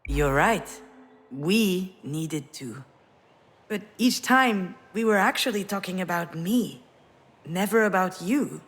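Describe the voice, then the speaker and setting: An actress playing a grieving, self-reproaching woman in a video game drama, speaking in an earnest, reflective, halting way. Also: lowish voice, lively intonation, breathy edge, heavily acted. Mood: remorseful. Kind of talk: dramatic visual novel voice acting with inner narration.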